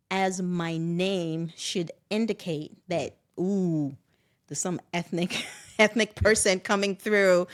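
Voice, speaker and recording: Middle-aged woman, expressive in conversation. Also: fairly low pitch. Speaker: guest. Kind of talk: reflective personal conversation.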